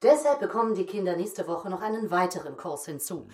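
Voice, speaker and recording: A woman's voice: fairly low pitch, animated, a little fast, darkish tone, breathy edge. An actress playing a female reporter giving a scripted report in a children's radio play. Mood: matter-of-fact.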